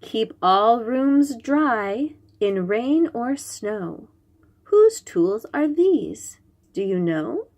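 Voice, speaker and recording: Female storytime reader for preschoolers: slow delivery, very lively intonation, simple explanatory, questioning manner. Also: on the high side. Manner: instructive, questioning, enunciates key words for small children. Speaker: host reading picture books aloud to young children.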